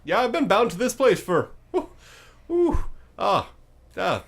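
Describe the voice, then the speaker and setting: A high, loud and cheerful ancient skull oracle for a tabletop fantasy game. It chats away in an offhand, casual manner, far lighter than a giant undead thing would suggest. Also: male voice, big pitch swings, measured pace, vocal fry, heavily acted. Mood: chatty, musing. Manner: cheery, offhand, hesitant chattiness.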